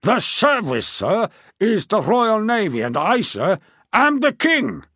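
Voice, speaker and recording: A man voicing an impatient, excitable older king who talks loudly and tags his lines with "what, what?". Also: moderate pace, husky, no room sound, studio quality, heavily acted. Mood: blustering. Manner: booming, emphatic, tags "what, what?".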